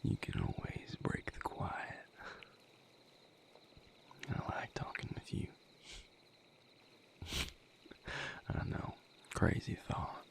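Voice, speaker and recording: A man playing a tender, weary vampire mate in an intimate audio roleplay, speaking softly and haltingly in a breathy, gravelly near-whisper. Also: natural voice. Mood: tender, teasing. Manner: unhurried, halting, soft-spoken with long pauses.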